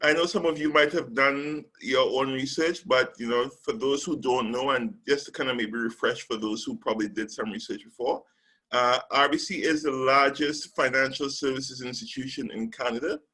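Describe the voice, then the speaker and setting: A man from Trinidad and Tobago, a recruitment specialist, giving a measured webinar presentation with frequent ums and pauses over a call microphone. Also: mid-range voice, fairly even intonation, bright, forceful tone, low-quality recording.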